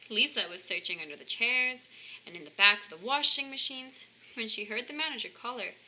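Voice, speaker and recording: A woman's voice: fairly high pitch, fairly quick, bright, forceful tone, poor audio. A woman reading a children's book aloud expressively as a storyteller.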